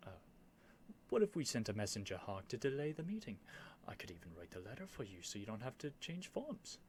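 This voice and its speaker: A man's voice: on the low side, sing-song, brisk, a little mellow, voice pushed down. A man playing a young royal prince in a scripted ASMR roleplay, earnest and lovestruck, speaking in formal, courtly phrases with haughty airs and flustered hesitations. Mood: hopeful pleading. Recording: faint background noise.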